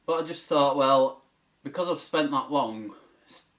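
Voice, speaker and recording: A man's voice: mid-range voice, a little slow, fairly bright tone. A British man chatting casually to camera as a YouTuber, in a rambling, tentative way, into a tablet's microphone. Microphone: tablet's built-in microphone.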